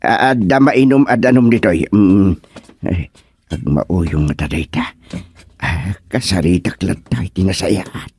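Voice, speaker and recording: A man's voice: sits low, a little slow. A man playing a startled, worried helper in a radio comedy drama, stammering and exclaiming. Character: startled, worried man helping an injured stranger.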